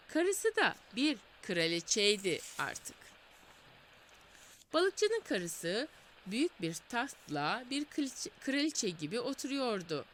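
A woman storyteller reading a fairy tale aloud in a sing-song, expressive way. Her mid-pitched voice rises and falls freely, with faint noise behind it. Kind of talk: scripted fairy-tale narration.

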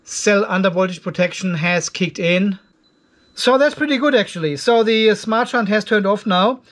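A man's voice: high voice, moderate pace, fairly bright tone. Male video host, 50s, voice set well above average, casual explanatory commentary delivered as if thinking aloud. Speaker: host of an off-grid solar video channel. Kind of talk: casual product test commentary. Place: cluttered garage workshop.

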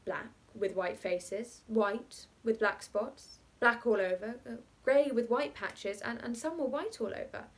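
A woman voicing an unconcerned bachelor who invents a children's story in a matter-of-fact, deliberate way. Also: a little high.

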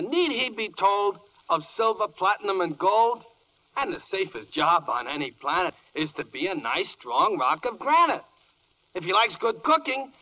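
A man's voice: high voice, bright, forceful tone, heavily acted. A man playing a zealous spokesman declaiming rhymed patter in a 1940s radio play. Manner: declamatory, rapid-fire patter. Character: zealous, rhyming salesman-like spokesman for minerals. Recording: muffled 1940s radio broadcast.

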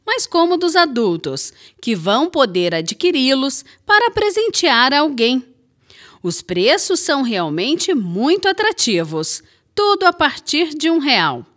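A woman's voice: sits high, a bit bright. A woman with a Brazilian Portuguese accent, reading a local TV news report as voice-over narration.

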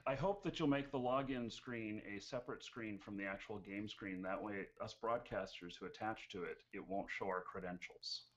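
A man's voice: fairly low pitch, normal speed, few pauses. A man in his forties from the United States, hosting a live-streamed Q&A in a chatty, joking way with many fillers, on a headset mic at home. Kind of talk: casual live-stream chat. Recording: dull lo-fi stream audio.

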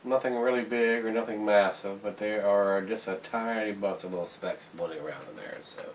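A middle-aged man chats through a beer review at home in a relaxed, folksy way, often calling viewers guys. His voice sits fairly low. The camera mic is set back a bit, so he sounds slightly distant. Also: a little fast. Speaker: beer reviewer, show host. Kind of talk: casual beer review vlog. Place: home room with glass shelves, indoors. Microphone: camera on tripod across the table.

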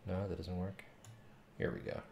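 A man's voice: sits low, little pitch movement, normal speed, gravelly. A man in his thirties muttering casually as he thinks aloud on a coding livestream from a small room at home. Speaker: game developer streaming. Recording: roomy, faint noise, keyboard clicks.

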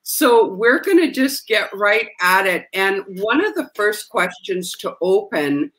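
Bright, chatty woman hosting a video call. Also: mid-range voice, very animated, measured pace, rough recording. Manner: chatty, upbeat, direct. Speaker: host. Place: home room, indoors. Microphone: webcam on video call.

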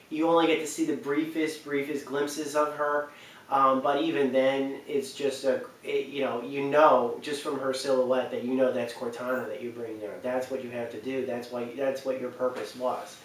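A man in his twenties giving casual, unscripted video game countdown commentary, halting and repeating phrases for emphasis. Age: 20s. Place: living room, on a sofa. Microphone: camera a few feet away. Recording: roomy, distant mic, faint rumble.

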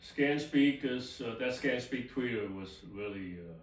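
An older man talks casually as a co-host, in short conversational remarks. His voice sits at a middling male pitch. It is heard in a strongly reverberant room, a little away from the microphone. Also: expressive, unhurried. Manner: brief agreeing interjections and short remarks. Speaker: co-host of an audio hobby show. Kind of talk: casual chat. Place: indoor room with table and blinds. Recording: very echoey room, distant mic.

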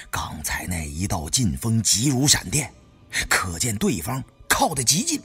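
A man narrating a suspense novel in the first person with expressive, dramatic storytelling. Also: a little high, breathy edge. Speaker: audiobook narrator.

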